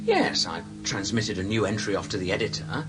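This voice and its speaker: A man's voice: lowish voice, expressive, brisk, over music, natural voice, poor audio. A man playing a blithe, matter-of-fact alien travel writer in a radio comedy. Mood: blandly reassuring. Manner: blithe, unruffled.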